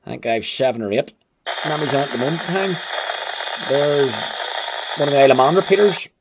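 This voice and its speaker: A man's voice: mid-range voice, fast talker, background noise. A man giving a casual, chatty and wry hands-on gadget review. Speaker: amateur radio gadget reviewer. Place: indoors at home.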